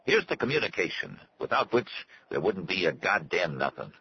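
Man acting a brash, folksy boss, lively swings. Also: sits low, moderate pace, creaky, husky, lo-fi sound, full character voice. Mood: expansive. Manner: folksy, blunt, colloquial. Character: brash, hearty, blunt male boss and host.

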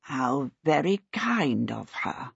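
A woman voicing a gentle, older male wizard in an audiobook, speaking slowly and politely. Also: a bass voice, sing-song, gravelly, slightly rough, no echo, dull audio, voice pushed down, exaggerated voice. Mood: mild. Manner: slow, mild and polite.

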